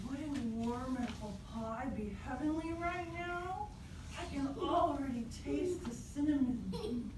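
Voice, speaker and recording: A woman voicing a hungry, wistful animal traveler in a live puppet show, dreamy and unhurried. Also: sits high, expressive. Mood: longing. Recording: distant echoey room, clear noise.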